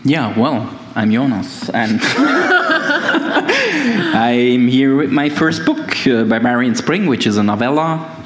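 A man's voice: medium pitch, very animated, takes it slowly, a little hiss or hum. A man, an author on a panel, speaking carefully in slightly English. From Switzerland. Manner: careful, slightly non-native phrasing.

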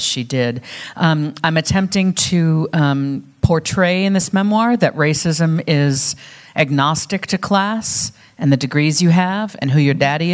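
A woman of about fifty, an author speaking on a live panel in a measured way, with frequent "um" fillers. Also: extremely low pitch. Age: 50s. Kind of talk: panel talk. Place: festival event room.

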